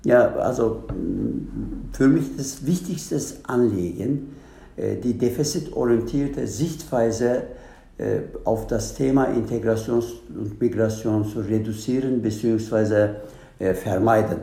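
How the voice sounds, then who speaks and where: Gravelly man. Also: lowish voice, warm tone. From Turkey. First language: Turkish. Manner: earnest, halting non-native German with many restarts. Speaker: guest, candidate for integration council. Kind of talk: panel discussion. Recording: echoey room.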